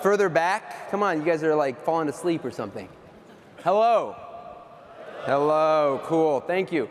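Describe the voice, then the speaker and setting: A middle-aged man from the East Coast speaks from a big stage into a microphone, his slightly high voice ringing with long hall reverb. He talks casually and self-deprecatingly, full of ums and asides. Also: expressive, a little slow, noisy background. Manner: chatty, self-deprecating, many fillers. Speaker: keynote speaker. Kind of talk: casual conference keynote. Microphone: handheld or stage mic.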